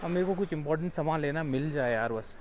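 A man's voice: a little high, fast, slight background noise. A young man vlogging casually outdoors on a clip-on mic, chatty and mixing English words into his talk. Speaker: travel vlogger. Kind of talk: casual vlog chat while walking. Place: outdoors in a busy town market.

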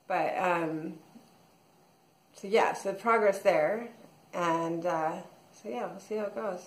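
A woman's voice: on the low side, slow pace. A woman in her thirties recording a casual video diary at home, rambling with many fillers and restarts. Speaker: vlogger. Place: small room at home, indoors. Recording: echoey room.

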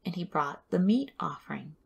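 A woman reading scripture aloud as a devotional host, in a measured, deliberate way with frequent pauses. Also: lowish voice, expressive, gravelly, breathy edge, lo-fi sound.

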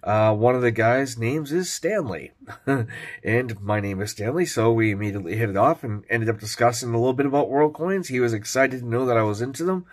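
A man's voice: medium pitch, fairly quick. Brief: man, stuffed-up from a cold, laid-back home vlogger talking through his coins, lots of ums. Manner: relaxed, rambling, frequent fillers. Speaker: coin collecting vlogger. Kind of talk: casual show-and-tell narration.

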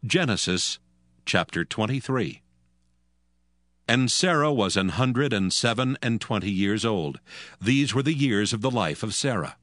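A man narrating scripture in a solemn, measured and formal scripted style. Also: on the low side, lively intonation, a bit bright, no echo, studio quality.

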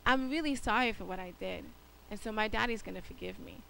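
A woman voicing a little boy who speaks softly and earnestly, apologizing to his father. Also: mid-range voice, brisk, vocal fry, a little hiss or hum, low-quality recording. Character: sorry, hopeful little boy.

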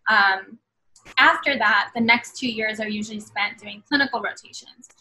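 Woman in her twenties, from New York City, bright tone, filler-heavy earnest chat, video call. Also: mid-range voice, normal speed, low-quality recording. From Bangladesh, raised in New York City. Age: 20s. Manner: earnest, many fillers and hedges. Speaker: guest, medical student. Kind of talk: casual interview over video call. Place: small room at home. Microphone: video call webcam.

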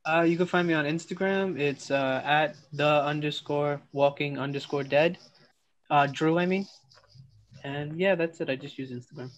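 Casual man, slightly high voice. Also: fairly even intonation, moderate pace. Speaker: guest. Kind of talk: casual chat.

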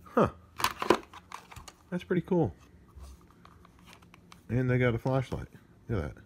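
A man's voice: medium pitch, sing-song, takes it slowly. A man chatting casually and off the cuff as he handles gadgets, muttering to himself in a playful, mildly crude way. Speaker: amateur product unboxing vlogger. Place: indoors at a table. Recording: noisy home phone-camera recording, device clicks.

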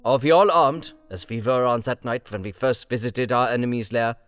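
A man's voice: mid-range voice, normal speed, a bit bright, clear, resonant tone, no echo, faint music behind, natural voice. A man reading an audiobook, voicing an elderly, kindly professor with solemn, old-world formality. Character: elderly learned male professor, grave and kindly.

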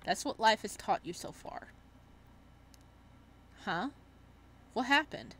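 High-voiced woman reacting while reading a game. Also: takes it slowly, slight background noise. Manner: expressive, exclaims and breaks off to react. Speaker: streamer playing a visual novel. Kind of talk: let's-play read-aloud with casual reactions.

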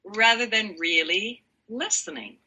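Interview guest, woman, low husky voice with a bright, tense edge, slow emphatic delivery. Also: dull audio. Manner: animated, laughs often, slow emphatic phrasing. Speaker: guest, sound healer. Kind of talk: warm expressive interview talk.

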